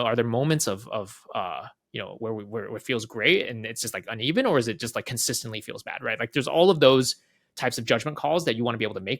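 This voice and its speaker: A man speaks as an interview guest in a dry, close recording. He explains his work quickly in a mid-pitched voice, thinking aloud in a casual, conversational way and often checking in with a "right?". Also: fairly bright tone, no echo. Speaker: head of product, interview guest.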